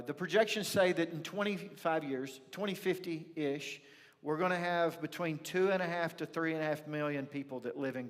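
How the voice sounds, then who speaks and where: Higher-voiced Texan preacher in a reverberant hall. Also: male voice. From rural North Texas, USA. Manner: folksy, conversational, emphatic pauses. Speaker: pastor. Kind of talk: sermon with personal storytelling. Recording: reverberant hall, church livestream.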